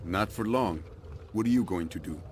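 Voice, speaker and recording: A man voices a grave warrior ally in a game. He speaks low, in a terse and solemn way, with faint ambient noise around him. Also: normal speed, a little mellow, close to an everyday voice. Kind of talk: video game cutscene acting.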